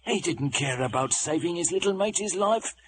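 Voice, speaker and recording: A roguish old sea cook speaks in an exaggerated West Country pirate brogue. The voice rides high and swings widely from cajoling growl to cry. Clear noise from an old film soundtrack lies under it. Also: male voice, lively intonation, normal speed. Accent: West Country English pirate brogue. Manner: rustic, drawling, exaggerated. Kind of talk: theatrical film acting.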